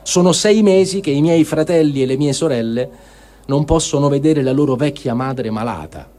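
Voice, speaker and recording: Mellow-voiced man in solemn dramatic reading. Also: fairly high pitch, normal speed. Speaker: reader of written testimony.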